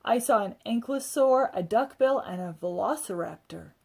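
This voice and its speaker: A woman's voice: a little high, expressive, normal speed, gravelly, a little mellow, husky. A woman reading a children's story aloud with expression. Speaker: storybook reader.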